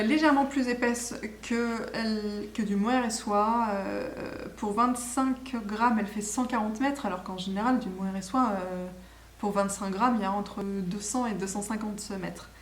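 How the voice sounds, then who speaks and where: A woman's voice: mid-range voice, moderate pace. A woman chats casually and enthusiastically as host of a knitting podcast, rambling and correcting herself as she goes. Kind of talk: casual video podcast chat. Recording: echoey room with faint background noise.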